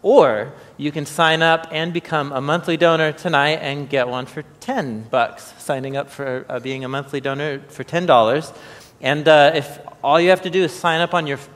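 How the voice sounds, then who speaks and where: A man giving casual live announcements from a stage as host, in an informal, halting, chatty manner. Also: medium pitch, fairly even intonation, fairly bright tone. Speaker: host and presenter. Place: theatre-style church sanctuary stage, indoors. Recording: echoey hall, stage event.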